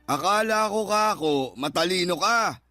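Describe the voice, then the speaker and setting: A man's voice: high voice, normal speed, pushed and bright, faint music behind. An actor playing a scornful, argumentative middle-aged father who scolds and insults his family in a radio drama. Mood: angry, belittling. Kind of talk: Tagalog radio drama acting.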